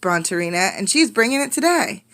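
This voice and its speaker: A woman voicing an eager, loyal little girl ballet pupil in a storybook, excited and urgent. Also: mid-range voice, fairly quick.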